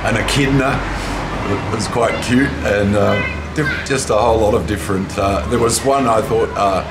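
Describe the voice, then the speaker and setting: An older Australian man talks in a fairly low voice, thinking his way through an interview answer with hesitations. He is recorded outdoors, with a roomy echo and heavy traffic ambience around him. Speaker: interviewee, musician. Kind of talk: reminiscing interview talk. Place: outdoors at a street cafe wall.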